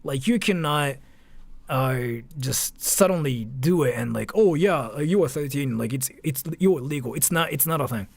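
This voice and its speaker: Animated, creaky-voiced man streaming casually. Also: on the high side, no echo. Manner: halting, repetitive, informal with mild swearing. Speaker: live streamer. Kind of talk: casual reaction commentary.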